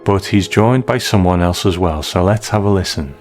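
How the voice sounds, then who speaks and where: A man's voice: deep voice, moderate pace, a little mellow, soft background music. A middle-aged British man talking in a chatty, conversational way as a podcast host, casually going through listener feedback. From United Kingdom.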